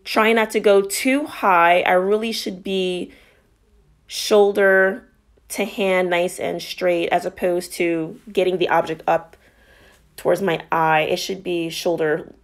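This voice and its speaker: A woman narrating a workout as a fitness instructor, explaining each move in an encouraging, instructional way. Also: lowish voice.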